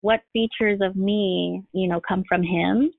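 A woman's voice: mid-range voice, steady tone, a little slow, gravelly, no echo. A woman from Jersey City, a guest talking openly about her background in a casual, filler-heavy way over a remote call. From Jersey City, USA. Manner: warm, open, lots of fillers. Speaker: guest, artist and singer-songwriter. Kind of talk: casual interview talk. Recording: dry tinny phone-like line.

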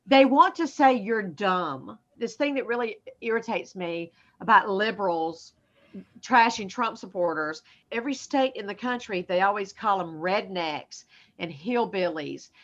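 A woman's voice: mid-range voice, expressive, a little slow, lo-fi sound. A woman with a Southern American accent, a stand-up comedian talking bluntly and profanely in a casual interview, often laughing at herself.

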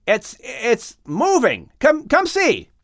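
A man voicing an excited young son in an audiobook story, exclaiming urgently. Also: extremely high pitch, slow, vocal fry, no room sound, studio quality, pitched above the performer's own voice, heavily acted. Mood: urgent excitement. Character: excited, breathless young adult son calling his father.

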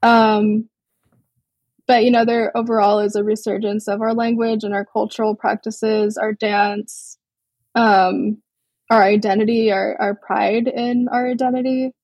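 Husky, gravelly woman guest, flat delivery. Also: on the high side, measured pace, no room sound. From Hawaii. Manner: relaxed, thoughtful, many ums and restarts. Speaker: guest artist. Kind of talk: casual interview over a call.

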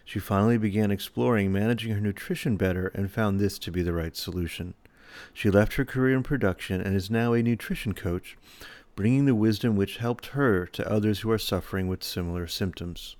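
A deep-voiced man hosts a podcast in a measured, even way, sometimes stumbling over his words as he chats with his guest. His voice is very low and sits in a dry, close recording with no room sound. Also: no room sound. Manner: measured, reads sponsor spots then chats with hesitant restarts. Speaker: podcast host. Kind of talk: interview podcast.

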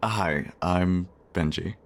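Man playing a flustered shy guy in a diner, deep-ish voice, stammers and says sorry, romance audio. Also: slow. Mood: shy, hesitant. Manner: hesitant, stammering, self-effacing. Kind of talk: romantic audio drama acting, naturalistic.

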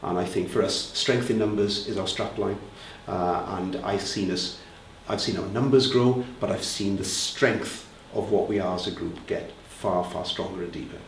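A man's voice: low-pitched, moderate pace, slight background noise. A Welsh man heading a reseller group, answering interview questions in a conversational way with fillers and restarts. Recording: roomy, distant mic with faint ambience.

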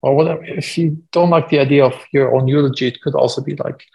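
A man's voice: medium pitch, fairly even intonation, fast, gravelly, warm tone, dull audio. A man in his forties with a German accent, a guest entrepreneur talking casually on a podcast, full of fillers. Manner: conversational, frequent fillers, self-deprecating.

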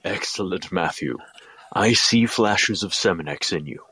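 An actor playing a stately, encouraging alien trainer with a formal, measured manner in an audio drama. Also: male voice, low voice, animated, sharp tone, husky, no room sound, heavily acted. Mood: approving.

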